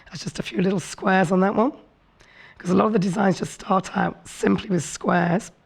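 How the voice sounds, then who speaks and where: A woman presenting a televised sewing demonstration with a hoarse, rough voice from a cold. She explains in a chatty, friendly way and apologizes for her voice. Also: mid-range voice, fairly even intonation, normal speed. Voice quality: rough, raspy voice. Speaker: craft show presenter. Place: TV studio set.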